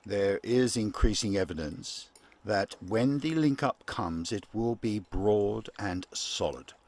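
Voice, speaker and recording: A man playing a grave, formal 1940s radio newsreader in a radio play, with deliberate, halting phrasing. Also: fairly low pitch, lively intonation, a little mellow, full character voice.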